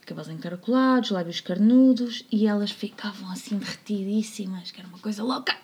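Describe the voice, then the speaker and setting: A woman with a European Portuguese accent, co-hosting a podcast and telling a history story in a playful, jokey way, slipping into quiet asides. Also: medium pitch, brisk, breathy edge. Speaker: podcast co-host. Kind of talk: casual storytelling about history.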